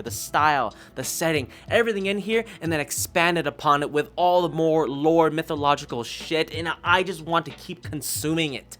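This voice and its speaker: A man's voice: medium pitch, a bit bright. A man giving a casual, enthusiastic review as a YouTube host, gushing, emphatic and swearing freely. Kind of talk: casual enthusiastic review, recommending audio dramas. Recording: music playing under the voice. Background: background music.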